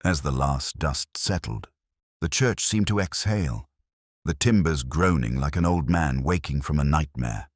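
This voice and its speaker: A man narrating a dramatic mystery story with a measured, solemn, literary delivery. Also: very deep voice, expressive, no echo, studio quality. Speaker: audiobook narrator.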